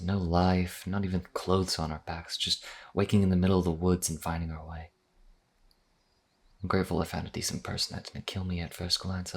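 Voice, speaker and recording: A man playing a modest, earnest vampire wanderer in a fantasy audio roleplay, explaining things in a hesitant, conversational way. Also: exaggerated voice. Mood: earnest, subdued. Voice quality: deep, husky.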